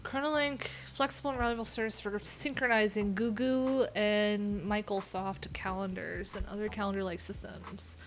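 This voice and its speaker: A woman's voice: mid-range voice, steady tone, normal speed, few pauses, slight background noise. A woman livestream host chatting casually and reading things aloud, with wry, informal, rambling asides. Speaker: livestream host, web developer.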